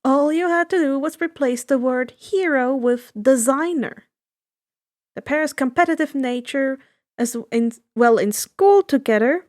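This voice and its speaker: A woman reading fan fiction aloud as expressive scripted narration. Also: high voice.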